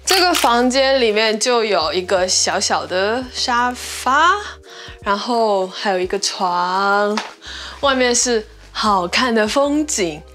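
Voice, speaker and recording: A woman's voice: mid-range voice, lively intonation, slow, fairly bright tone, faint music behind. A young woman giving a chatty, upbeat tour of a rental house and its backyard cabins, slipping English words into her talk. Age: young adult. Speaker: vlogger. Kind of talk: casual house-tour vlog. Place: rooms of a house and a wooded backyard.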